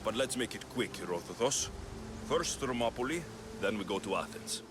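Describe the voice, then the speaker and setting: A man's voice: lowish voice, lively intonation, moderate pace, background noise, natural voice. Blunt, brooding Greek warrior, acted by a man. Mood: blunt, impatient. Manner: blunt, skeptical, impatient. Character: brooding, hot-tempered Greek mercenary warrior, fiercely family-driven. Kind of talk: dramatic video game acting.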